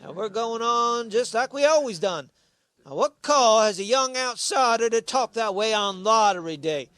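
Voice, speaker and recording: A man's voice: very high-pitched, expressive, moderate pace, a bit bright, clear, resonant tone, no room sound. An actor playing a stubborn, crotchety village old-timer in his late seventies or older in a radio play, with rural phrasing. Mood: indignant, crotchety.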